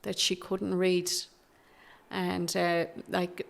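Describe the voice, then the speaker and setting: A middle-aged Irish woman from Galway, speaking softly and hesitantly as a guest in an interview, with many restarts. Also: on the low side, monotone, very slow, gravelly. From Galway, Ireland. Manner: soft-spoken and hesitant, with many fillers and restarts. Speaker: guest, Traveller activist. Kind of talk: candid interview conversation.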